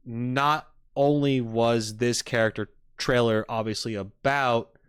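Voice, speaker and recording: A man giving casual livestream reaction commentary to game trailers, rambling and halting as he rephrases his thoughts. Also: mid-range voice, steady tone, clean tone, no room sound, clean studio sound.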